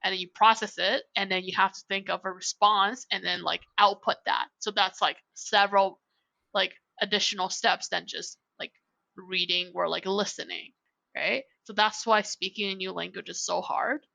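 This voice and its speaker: Young woman guest on a podcast, says like a ton, kinda gravelly, bright. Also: mid-range voice, fairly even intonation, normal speed, no echo, low-quality recording. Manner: rambling, heavy use of "like". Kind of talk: casual chat.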